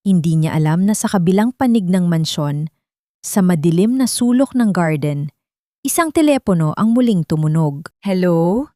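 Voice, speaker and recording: A woman's voice: on the low side, lively intonation, normal speed, mellow, no echo. Woman narrating dramatic fiction, dry sound. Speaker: audiobook narrator. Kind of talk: dramatic scripted story narration.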